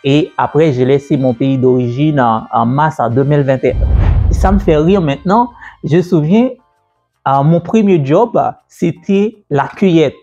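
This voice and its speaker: A man telling the story of his own business journey in a casual way, repeating himself and pausing with fillers. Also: a little high, warm tone. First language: French. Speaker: entrepreneur and business coach. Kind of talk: personal story told to camera. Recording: dull, lo-fi sound with faint music.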